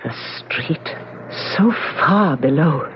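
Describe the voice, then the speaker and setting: Low voiced lady, scared n brooding, halting, dramatic, muffled 70s radio play. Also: expressive. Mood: brooding, fearful. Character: frightened, haughty, despondent foreign woman guest in hiding. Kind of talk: 1970s radio drama acting. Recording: dull, hissy 1970s radio broadcast.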